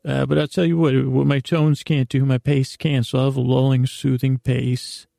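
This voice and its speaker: A tired middle-aged man with a creaky voice hosts a bedtime podcast, meandering and self-deprecating, halting with long pondering pauses. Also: mid-range voice, little pitch movement, mellow, no room sound, studio quality. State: tired, worn down. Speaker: podcast host and bedtime storyteller.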